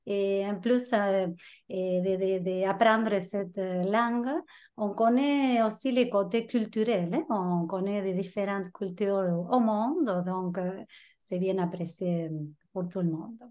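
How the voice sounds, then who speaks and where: A woman's voice: medium pitch, steady tone, slow, warm tone. Argentinian woman introducing her language classes. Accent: Argentinian Spanish. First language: Spanish. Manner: hesitant, many restarts. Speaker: Spanish teacher. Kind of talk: casual self-introduction on a video call.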